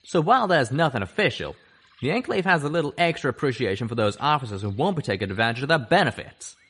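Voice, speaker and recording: A man reading an audiobook, voicing a loyal male pegasus pony who talks loudly. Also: mid-range voice, sing-song, fast, husky, pitched above the performer's own voice, exaggerated voice. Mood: earnest. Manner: loud, hollering.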